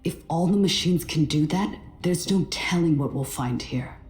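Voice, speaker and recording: A woman's voice: sits low, normal speed, a little mellow, close to an everyday voice. A woman playing a wary, earnest adventuring companion in scripted fantasy game dialogue. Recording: echoey, faint music behind.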